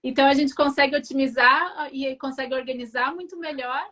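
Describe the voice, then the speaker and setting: High-voiced woman explaining patiently. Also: steady tone, quick pace, sharp tone. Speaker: guest, professional organizer. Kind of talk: explanatory interview answers.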